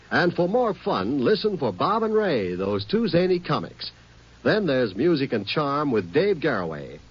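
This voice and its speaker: A man's voice: a little high, breathy edge. A man with an American accent reading scripted 1950s radio promotions as a network announcer. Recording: dull old radio recording.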